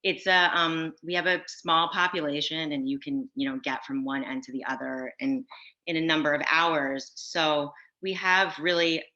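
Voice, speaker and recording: A woman on a video-call panel, answering in a halting, casual way with many restarts and fillers. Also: low-pitched, steady tone, sharp tone. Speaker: brand founder on a panel. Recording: dull lo-fi audio.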